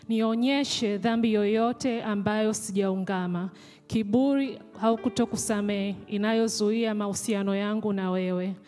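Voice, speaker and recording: Soft-spoken woman with a Tanzanian accent. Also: medium pitch. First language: Swahili. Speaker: congregant leading prayer. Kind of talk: communion prayer. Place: large church hall. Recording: church hall reverb with soft music.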